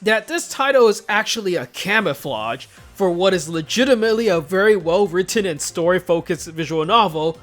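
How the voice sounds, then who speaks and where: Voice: mid-range voice, a bit bright. A casual, joking video host narrating a scripted countdown commentary.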